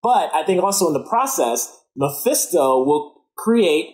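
A man with a Midwestern accent talks through a theory on a casual panel and laughs easily. His voice sits a little high. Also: expressive, measured pace, darkish tone. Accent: Midwestern American. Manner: chatty, laughing, builds a theory step by step.

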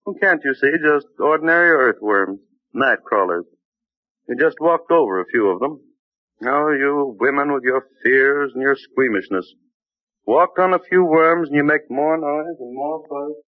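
A man playing an irritable, selfish husband and scientist in an old-time radio drama, impatient and blustery, with a husky voice. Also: fairly high pitch, normal speed, lightly acted. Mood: scolding. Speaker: radio actor. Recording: muffled old radio recording.

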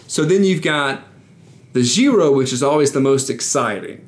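A man's voice: mid-range voice, animated, moderate pace. A man co-hosting a casual podcast, eagerly explaining bike racing to his friends. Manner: enthusiastic, explains cycling. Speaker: podcast co-host. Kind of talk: casual chat.

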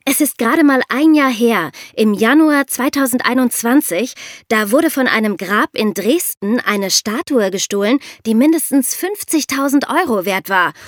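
A woman's voice: fairly high pitch, expressive, moderate pace, hardly any pauses, no echo, clean studio sound. A young woman co-hosting a podcast, explaining and asking in a conversational tone. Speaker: podcast co-host.

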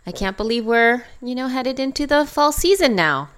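A woman's voice: on the high side, animated, normal speed, clear, resonant tone. A woman co-hosting a podcast in casual chat, friendly and giggly, often restarting her sentences. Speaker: podcast co-host.

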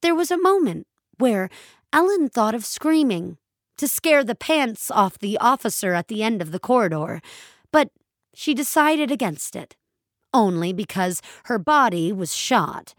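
A woman narrating a scripted, dramatic fiction story in a measured, expressive storytelling manner. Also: a little high, dry recording, clean studio sound.

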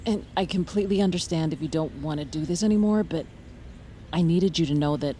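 A woman's voice: low-pitched, fairly quick, mellow, a little hiss or hum, lightly acted. An actress playing a haunted, determined mother in an audio drama, earnest and emotional. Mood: apologetic. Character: haunted, determined mother, desperate yet defiant. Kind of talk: audio fiction acting with first-person narration. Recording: music behind the voice.

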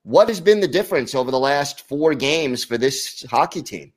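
A man's voice: mid-range voice, expressive, moderate pace. A man hosting a sports podcast, chatting in a friendly, upbeat way with his guests. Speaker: podcast host. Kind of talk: sports podcast chat and read ad.

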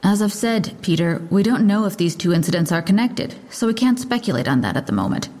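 Female police lieutenant, fairly low voice, brisk, guarded, sardonic, press briefing, audio drama. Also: very animated, a little mellow. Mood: guarded, curt.

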